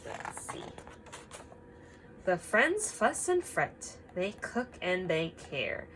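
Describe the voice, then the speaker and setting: A woman reading a picture book aloud to children, expressive and emphatic, pausing to ask simple guiding questions. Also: on the low side, slight background noise, low-quality recording.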